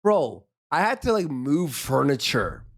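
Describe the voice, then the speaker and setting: A man's voice: mid-range voice, big pitch swings, measured pace. A man co-hosting a commentary show who laughs often and mockingly imitates the streamer. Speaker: co-host.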